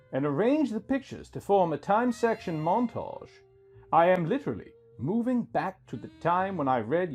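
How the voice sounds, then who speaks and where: A man reads a scripted first-person story as its narrator. His voice sits somewhat high and moves up and down in a very animated way. Music plays faintly underneath him.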